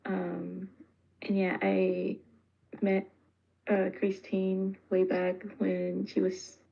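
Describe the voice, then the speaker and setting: A woman from the Philippines chatting casually as a guest over an online call with dull lo-fi audio, agreeable and quick to laugh. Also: medium pitch, completely flat delivery, gravelly, slightly rough. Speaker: guest, software developer. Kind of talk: casual chat.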